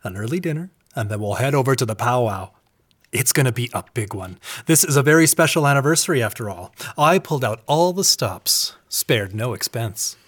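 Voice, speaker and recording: Middle-aged husband, affectionate and self-deprecating joker, very lively pitch, naturalistic audio drama. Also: male voice, brisk. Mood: cheerful. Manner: playful, chuckling, eager.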